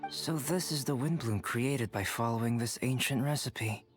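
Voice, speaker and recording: A man voicing a calm, scholarly young alchemist, measured, precise and polite, in an anime-style video game. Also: mid-range voice, lightly acted. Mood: thoughtful. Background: faint game music.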